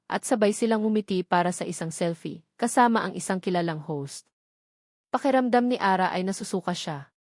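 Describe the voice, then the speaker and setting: A woman's voice: mid-range voice, a little mellow, no echo, clean studio sound. A woman reads a scripted romance story as its narrator, in a dramatic storytelling style.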